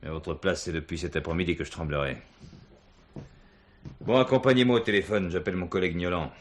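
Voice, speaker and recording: An actor playing a shrewd, authoritative police commissioner in a French radio crime drama, speaking in a commanding, deliberate way. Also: male voice, sits low, lightly acted. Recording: noisy 1970s radio drama recording.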